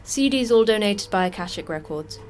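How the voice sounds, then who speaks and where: A woman's voice: mid-range voice, lively intonation, moderate pace, lightly acted. A woman playing a jaded, terse station manager in an audio drama, dry and matter-of-fact. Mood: wry briefing. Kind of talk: audio fiction podcast acting.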